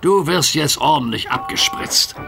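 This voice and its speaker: A man's voice: on the high side, animated, unhurried, gravelly, music in the background, heavily acted. A man voicing a practical, no-nonsense train driver in a children's audio story. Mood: resigned, firm.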